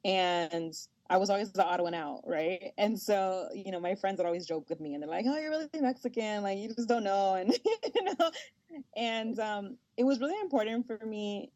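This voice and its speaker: A young woman whose first language is Spanish, a sports journalist talking casually in an interview, animated and laughing often with many fillers. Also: medium pitch, moderate pace, no echo, dull audio. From United States.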